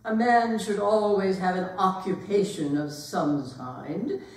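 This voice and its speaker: A woman's voice: medium pitch, slow pace. A woman acting an imperious upper-class mother who pompously interrogates a suitor in a staged comedy reading. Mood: haughty, imperious. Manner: pompous, pronouncing grand opinions. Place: performance hall, recorded from a distance. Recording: roomy echoey hall, distant microphone.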